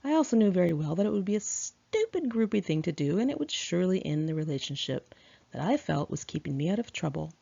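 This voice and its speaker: A woman's voice: on the low side, big pitch swings, fast, vocal fry, mellow. A woman reading her own memoir aloud in a candid, chatty, sassy conversational style, on a dull lo-fi home recording.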